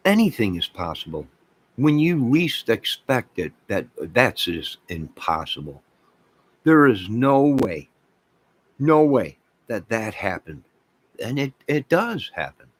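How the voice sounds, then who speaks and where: A man's voice: mid-range voice, very animated, slow. A man with an American accent hosting an online channel, giving an opinionated, emphatic rant to his viewers and often repeating phrases for weight. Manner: emphatic, repeats phrases, mocks opposing views sarcastically. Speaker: online video channel host.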